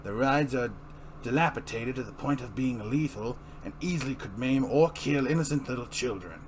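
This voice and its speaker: A man's voice: mid-range voice, moderate pace, a little hiss or hum, close to an everyday voice. Man playing a gleeful, sinister clown villain. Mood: sardonic. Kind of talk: amateur dramatic comic book reading.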